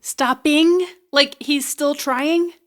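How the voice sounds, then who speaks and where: A woman playing a troubled, sarcastic young runaway in an audio drama, speaking in a halting, blunt, conversational way. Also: sits high, fairly even intonation, a bit bright, no echo, full character voice. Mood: incredulous, blunt.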